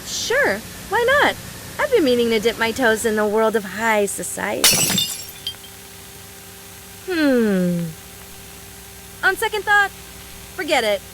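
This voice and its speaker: A woman's voice: on the high side, sing-song, slow pace, pauses often, background noise. A woman voice-acting a brash, mischievous young woman fighter from a video game, teasing in a playful, folksy, mock-serious way.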